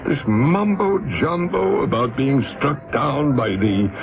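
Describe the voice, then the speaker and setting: A man's voice: medium pitch, very animated, takes it slowly, creaky, noisy background, full character voice. An actor playing a ruthless, impatient, wealthy businessman in a radio drama, blustering and scornful as he gives orders. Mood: pressing. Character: domineering wealthy businessman, impatient, ruthless, used to buying people. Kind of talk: 1970s radio drama acting.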